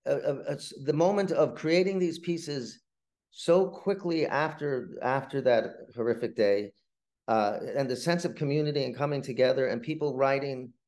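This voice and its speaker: A middle-aged man, a playwright being interviewed, tells stories conversationally in a halting, rambling way, often repeating words. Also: medium pitch, a little mellow.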